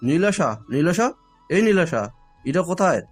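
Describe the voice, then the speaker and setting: A man's voice: fairly high pitch, very animated, moderate pace. Young older brother, worried, vengeful, audio drama with light music bed male performer. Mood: worried, urgent.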